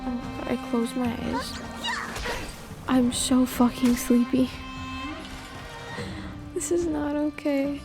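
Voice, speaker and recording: A woman's voice: sits high, slow pace, long pauses. A woman streaming a game, giving casual, slangy, laid-back commentary with some swearing, close on the mic. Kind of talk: casual live gameplay commentary. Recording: game music behind the voice.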